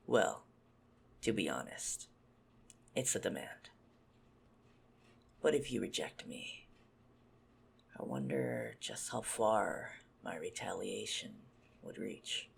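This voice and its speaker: A man playing a possessive, menacing, smug vampire stalker in an ASMR roleplay, haughty and teasing in a deliberate murmur. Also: on the low side, gravelly, a bit bright, husky, full character voice. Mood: menacing, jealous.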